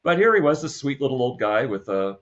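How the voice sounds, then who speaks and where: A man chatting casually as a podcast guest, in a halting, word-repeating way, on slightly dull audio. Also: mid-range voice, big pitch swings, a bit bright. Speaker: podcast guest, comedy sketch producer. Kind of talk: casual chat.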